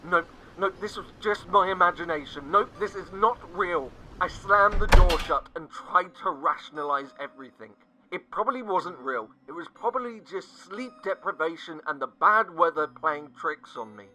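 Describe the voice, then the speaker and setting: A man narrating a scripted first-person horror story, dramatic and sinking to hushed tones at the tense moments. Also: high-pitched, expressive, moderate pace, few pauses, low-quality recording. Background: faint music.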